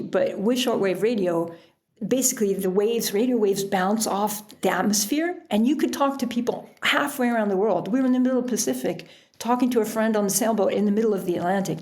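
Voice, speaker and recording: A woman talking casually as an interview guest, telling things in an animated storytelling way with illustrative asides. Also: medium pitch, creaky. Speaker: podcast guest, author and sailor.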